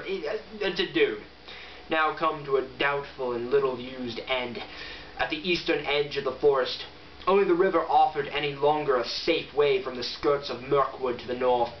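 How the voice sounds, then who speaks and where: A man giving an amateur book review in a casual, rambling, irreverent way, correcting himself as he goes. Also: mid-range voice. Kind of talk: casual rambling review with some reading aloud. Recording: muffled, noisy, roomy, distant mic.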